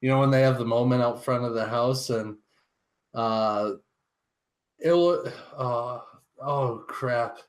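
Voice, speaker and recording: A man's voice: medium pitch, takes it slowly, long pauses. A man co-hosting a podcast, chatting informally in a loose, conversational way. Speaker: podcast co-host. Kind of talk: casual chat, personal anecdotes.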